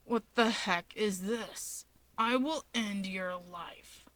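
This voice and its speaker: A woman voicing a grumbling, menacing male villain in a breathy low growl, reading a story. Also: a bit bright, no room sound. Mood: groggy menace. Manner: slow, drawled threats.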